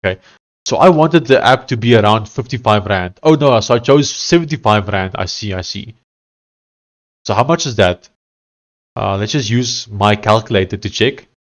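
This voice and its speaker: A man's voice: fairly low pitch, animated, moderate pace. Hesitant man with a thick Afrikaans accent. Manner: thinking aloud, hesitant with many fillers and restarts. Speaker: live-streaming software developer. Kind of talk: casual live stream chat while coding.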